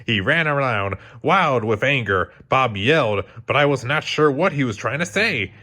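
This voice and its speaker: A man reading a children's storybook aloud as scripted, expressive narration. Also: mid-range voice, moderate pace. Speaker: storybook reader.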